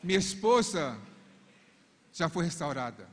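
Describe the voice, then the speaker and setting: A middle-aged man whose first language is English preaches in careful Portuguese, his voice on the higher side for a man. He prays fervently into a handheld mic, repeating "Father" between phrases. Long reverb from a big arena hangs on every line. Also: very animated, a little slow, a little hiss or hum. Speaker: couple's coach. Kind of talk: preaching and prayer at a church conference. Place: large arena stage with a crowd. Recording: long reverb of a large hall.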